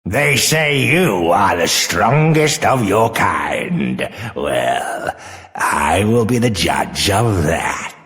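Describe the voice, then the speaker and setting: A man's voice: fairly low pitch, big pitch swings, very slow, a bit bright, faint music behind, full character voice. A man playing an arrogant, menacing monster servant of the underworld in a fantasy game, sneering melodramatically in long reverb. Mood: menacing, gloating. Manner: sneering, savoring, melodramatic villain delivery. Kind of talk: fantasy video game villain acting.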